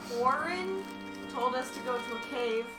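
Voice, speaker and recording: A woman player recaps the game, chatty and prone to giggles, with a fairly high voice. she sits away from the mic in an echoey room with music underneath. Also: lively intonation, measured pace, far from the mic. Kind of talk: casual tabletop game recap.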